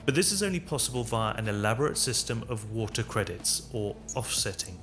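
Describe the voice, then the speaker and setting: A man's voice: on the low side, expressive, a little fast, a bit bright. A man delivering scripted, informational narration in the manner of an explainer. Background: music.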